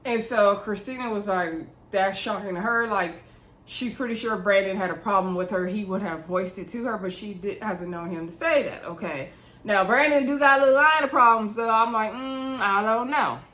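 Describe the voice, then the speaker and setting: A woman's voice: mid-range voice, normal speed, pushed and bright. A woman giving a casual, chatty recap of a TV episode as a vlog host, conversational and opinionated, talking straight to her viewers. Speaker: YouTube reality show recap host. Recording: roomy, echoey indoor sound.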